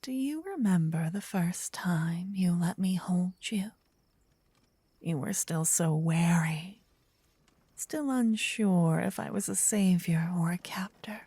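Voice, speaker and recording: A woman playing a gentle, possessive, lovestruck vampire in a soft, intimate, breathy ASMR whisper, close to the ear. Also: lowish voice, no room sound, heavily acted. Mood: tender, yearning. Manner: intimate, slow, teasing. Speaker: ASMR roleplay performer. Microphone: close binaural ear-to-ear microphone.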